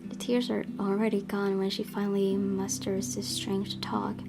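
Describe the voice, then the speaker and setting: A woman with a mid-pitched voice narrates a visual novel. Her reading is expressive and measured, in a storytelling style. Guitar music plays clearly behind her. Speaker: gaming video host reading a visual novel aloud.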